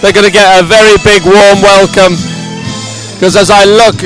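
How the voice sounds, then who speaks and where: A middle-aged man commentates live at a sporting event with music playing loudly behind him. His voice sits high for a man. He ad-libs effusively and sentimentally, often saying a phrase again for emphasis. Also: level tone, normal speed, low-quality recording. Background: loud stadium music at a medal ceremony.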